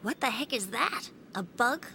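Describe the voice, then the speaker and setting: Feisty young traveling performer, high and animated. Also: female voice, normal speed, gravelly, slight background noise, full character voice. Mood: curious. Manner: feisty, expressive. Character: feisty young female traveling performer, sharp-tongued but friendly. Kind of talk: English video game dub acting.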